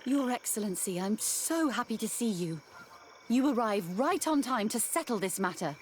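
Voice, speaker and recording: A woman acts as a proud, earnest expedition leader in a video game. Her voice is high and very expressive, with faint frogs and swamp ambience behind. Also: normal speed, slight background noise, lightly acted. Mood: relieved, concerned. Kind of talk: video game cutscene dialogue.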